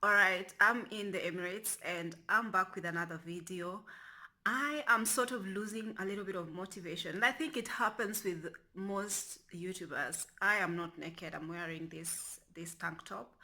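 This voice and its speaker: Bright-voiced woman from Kenya chatting. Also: normal speed. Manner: rambling, restarts often, lowers to a near whisper. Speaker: vlogger. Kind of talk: casual talking-to-camera vlog.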